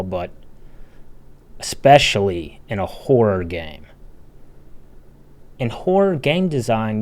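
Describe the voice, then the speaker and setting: A man's voice: mid-range voice, a little mellow. A man giving opinionated video essay commentary in a blunt, casual, sweary manner. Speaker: video game critic, channel narrator.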